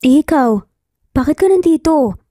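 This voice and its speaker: Woman acting a guarded young mother, higher-pitched. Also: expressive, moderate pace, dark tone, dry recording, clean studio sound. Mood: flustered. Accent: Filipino. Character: guarded young single mother, tender but torn.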